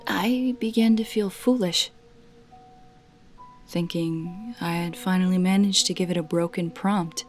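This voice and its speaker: A woman narrating a scripted first-person creepy story in a measured way, with dramatic pauses between short phrases. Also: lowish voice, steady tone, faint music behind.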